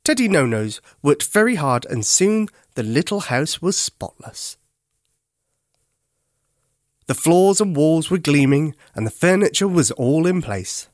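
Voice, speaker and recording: A children's podcast host narrating a bedtime story in a gentle, carefully enunciated, expressive way. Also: mid-range voice, a little slow, few pauses, no echo, studio quality.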